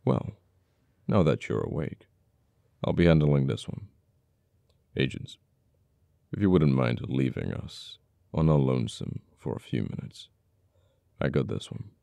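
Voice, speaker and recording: A heavily creaky, dark male voice , acted by a man, plays a cold, uptight official in an audio roleplay. He taunts a captured former friend slowly, with deliberate dramatic pauses. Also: very deep voice, expressive, no echo, full character voice. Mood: cold teasing.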